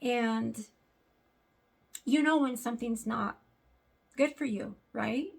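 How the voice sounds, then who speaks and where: A young adult woman with a sore throat and a raspy voice, talking earnestly to camera in a casual vlog with lots of fillers. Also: on the high side, slow. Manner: earnest, hesitant, many fillers. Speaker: vlogger.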